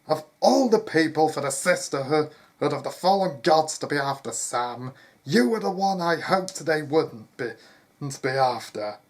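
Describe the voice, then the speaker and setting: A storyteller voicing an urgent, protective lordly father in a fantasy tale, with a forceful, commanding manner. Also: mid-range voice, unhurried.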